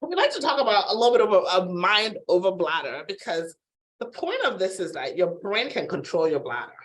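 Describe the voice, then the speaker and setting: A woman physician giving an introductory health webinar over a video call, her voice hoarse from a sore throat, conversational with frequent fillers and throat clearing. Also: medium pitch, big pitch swings, brisk. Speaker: physician presenter. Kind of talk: webinar lecture.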